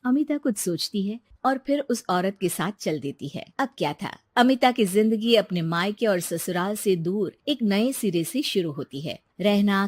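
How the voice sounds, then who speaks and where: A woman narrating a cartoon story in an even, measured way on a close studio mic. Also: medium pitch, no room sound.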